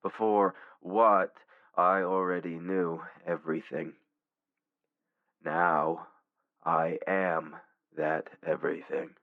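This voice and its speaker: A man playing a godlike war supercomputer in a dramatic villain monologue, brooding, deliberate and grandiose, full of contempt for humanity. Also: extremely low pitch, creaky, narrow, tinny audio, heavily acted. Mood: cold brooding.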